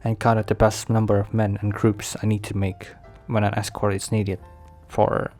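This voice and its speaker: A man acts out an overprotective mafia boss in an ASMR boyfriend roleplay. His voice sits on the low side, with formal, careful phrasing and halting pauses as he attends to his partner. Music plays behind him. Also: completely flat delivery, darkish tone, natural voice. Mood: matter-of-fact, defensive.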